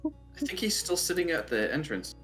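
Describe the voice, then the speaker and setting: A man improvising casually in a tabletop role-playing game, speaking in a halting, hesitant way. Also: a little high, big pitch swings, a bit bright, faint music behind. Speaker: game player.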